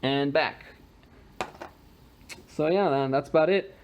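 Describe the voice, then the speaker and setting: A man's voice: fairly high pitch, slow, slight background noise. A man explaining and demonstrating a homemade gadget in an informal, unpolished, conversational way, like a hobbyist.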